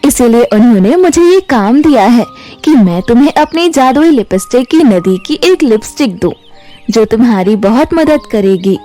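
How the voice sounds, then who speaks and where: Woman voicing a calm, solemn magical river spirit. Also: on the high side. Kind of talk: Hindi cartoon fairy tale acting.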